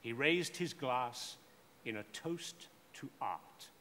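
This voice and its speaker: Animated male storyteller in a hall. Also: mid-range voice, slow pace. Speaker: narrator of a short story. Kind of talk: scripted dramatic story narration. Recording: echoey hall with faint noise.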